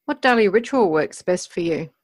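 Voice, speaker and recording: Female podcast interviewer, medium pitch, conversational delivery, dry recording. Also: lively intonation, moderate pace, no echo.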